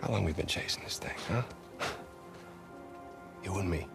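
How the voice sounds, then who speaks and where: A man's voice: low-pitched, moderate pace, gravelly. An American man voicing a stubborn, restless male treasure hunter in a video game cutscene, urgent and persuasive. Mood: insistent, excited. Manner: urgent, insistent, stammering when pushed. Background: music.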